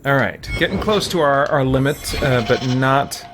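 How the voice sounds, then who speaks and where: A man doing casual video game commentary, thinking aloud in a halting way. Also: medium pitch, animated, noisy background. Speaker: gamer, let's play host.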